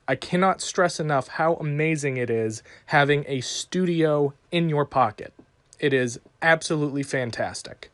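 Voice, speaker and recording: Upbeat male podcast host, slow deliberate delivery, dry close sound. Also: a little high, no room sound. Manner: enthusiastic, promotional. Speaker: podcast producer and announcer. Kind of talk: reading a sponsor message and intro.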